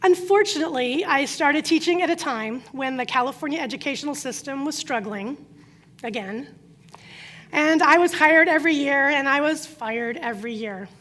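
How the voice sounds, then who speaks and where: High-pitched middle-aged woman, croatian first language, earnest story talk w/ dramatic pauses. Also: a bit bright. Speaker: speaker. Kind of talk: prepared inspirational talk.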